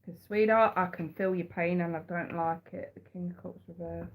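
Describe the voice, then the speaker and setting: A woman runs a casual live tarot stream, stuffy and congested from a cold. Her voice sits slightly low for a woman. She chats warmly to her viewers, repeats herself as she goes and calls them sweetheart. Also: fairly even intonation, normal speed, poor audio. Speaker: tarot reader. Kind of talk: live-stream chat and reading.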